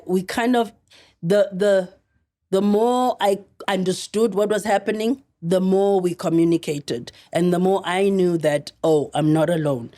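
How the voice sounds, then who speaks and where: A South African woman in middle age, guest on a podcast, speaks in a lowish, mellow voice. She is earnest and halting, often breaking off and restarting as she tells her story. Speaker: actress guest.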